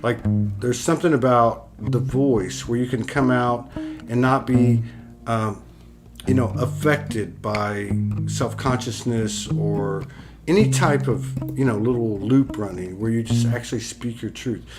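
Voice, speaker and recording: A man of middle pitch talks earnestly and rambles, stacking up "like"s and swearing now and then. Guitar music plays clearly behind him. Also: a little slow. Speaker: host. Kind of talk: casual spiritual chat.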